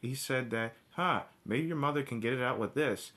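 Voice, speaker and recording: A man with a medium-pitched voice delivers an informal, opinionated review rant. He is blunt and swears freely. His delivery is halting, circling back and restarting phrases as he goes. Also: fairly bright tone. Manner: halting, with repeated restarts and backtracking; blunt, heavily profane. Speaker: cartoon episode reviewer. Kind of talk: informal ranting commentary.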